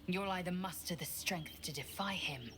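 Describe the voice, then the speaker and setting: A woman playing a grave, stern female warrior in a video game, clipped and breathy at times. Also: fairly low pitch, normal speed, background noise, close to an everyday voice. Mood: grave, warning.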